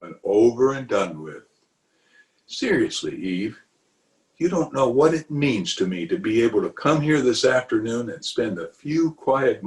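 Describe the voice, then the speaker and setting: A man playing a pompous, flirtatious middle-aged town official in 1940s radio comedy style, blustering and wheedling theatrically. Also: lowish voice. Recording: roomy, echoing home video-call recording.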